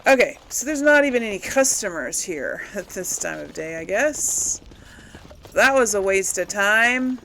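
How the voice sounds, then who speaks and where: A woman's voice: medium pitch, sing-song, a little slow, a bit bright, slight background noise, low-quality recording. A woman giving casual, unscripted game commentary to viewers, rambling a little as she thinks aloud. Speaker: let's play host.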